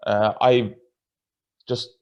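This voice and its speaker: A man's voice: fairly low pitch, little pitch movement, extremely slow, muffled recording. Norwegian man hosting a video-call interview. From Norway. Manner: chatty, self-deprecating, many restarts. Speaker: host.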